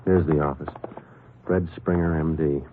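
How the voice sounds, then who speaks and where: Low, clipped American police detective. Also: male voice, moderate pace, noisy background. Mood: businesslike, polite. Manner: clipped, matter-of-fact, deadpan. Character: calm, businesslike male police sergeant detective. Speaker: lead actor and narrator. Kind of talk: 1950s radio police drama acting. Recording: muffled vintage 1950s radio recording with music.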